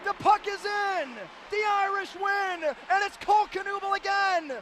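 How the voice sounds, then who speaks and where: A man calling live hockey play-by-play on the radio in an excitable manner, ready to build into shouted calls. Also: extremely high pitch, a little slow. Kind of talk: live sports radio commentary. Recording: live broadcast with clear background noise.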